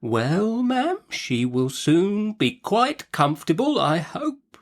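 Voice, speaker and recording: A man voicing a meek, nervous gentleman doctor from a storybook, soft, hesitant and deferential. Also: high voice, big pitch swings, takes it slowly, voice pushed up, exaggerated voice. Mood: mild, deferential.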